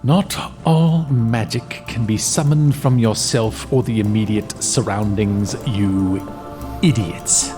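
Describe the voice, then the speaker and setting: A man's voice: on the low side, takes it slowly, mellow. An actor playing a haughty, scornful male wizard in an audio drama, talking down to others. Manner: condescending, insulting. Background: music bed.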